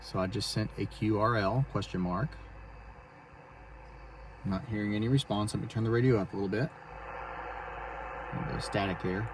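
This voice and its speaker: A man casually explaining and demonstrating amateur radio to a phone camera, speaking in a hesitant, halting way. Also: fairly low pitch, steady tone. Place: inside a car for the later part. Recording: clearly noisy phone video recording.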